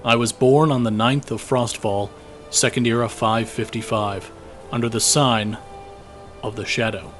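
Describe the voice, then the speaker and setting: A man's voice: medium pitch, fairly even intonation, natural voice. A man as a weary young conscript soldier, music behind. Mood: solemn. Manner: measured, reflective, dramatic diary reading. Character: weary, guilt-ridden young male imperial conscript soldier. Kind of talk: scripted fantasy audio drama journal narration.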